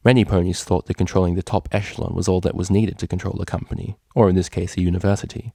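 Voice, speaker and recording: A man narrates a story in a low, gravelly voice with a dark, mellow tone. The sound is close and dry, as he reads scripted prose. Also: fairly even intonation, fast, no echo. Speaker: fan fiction reader.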